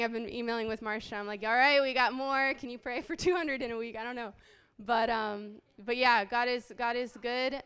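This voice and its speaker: A woman's voice: a little high, a bit bright, smooth. A woman in her late twenties, from Haiti, telling a heartfelt personal testimony as a guest speaker, earnest and full of small ums. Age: late 20s.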